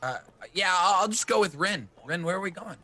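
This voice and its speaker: A man's voice: high voice, animated, brisk, sharp tone. A man playing a tabletop game in character, talking in a jumpy, nervous, rambling way. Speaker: player. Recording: background music clearly heard.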